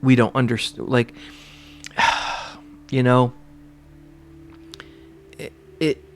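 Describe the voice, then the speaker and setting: A man giving a spiritual reading, a little stuffy and congested, with a slightly rough throat, rambling through fillers and restarts. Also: medium pitch, level tone, drawn-out words, faint music behind. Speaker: tarot reader. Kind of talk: casual spiritual talk to camera.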